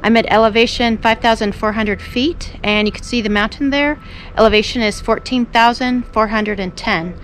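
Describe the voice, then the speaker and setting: A middle-aged woman with a fairly high voice, filming herself at arm's length outside by roads and trails. She explains the stops in an easy, informative way, and steady outdoor noise runs under her words. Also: normal speed, rough recording. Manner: relaxed, informative tour-guide talk. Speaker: hiking vlogger. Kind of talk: casual travel vlog narration. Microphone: handheld camera at arm's length.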